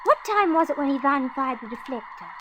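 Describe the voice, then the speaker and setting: Through a noisy vintage recording, an excitable young girl in a radio space serial. Her voice is high, earnest and anxious, with theatrical breathless delivery. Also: brisk. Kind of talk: old-time radio drama acting.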